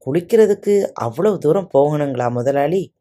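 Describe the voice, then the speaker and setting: A woman's voice: sits low, lively intonation, normal speed, warm tone. A woman voicing a simple, humble male servant who answers his master politely, in a storybook reading. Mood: naive.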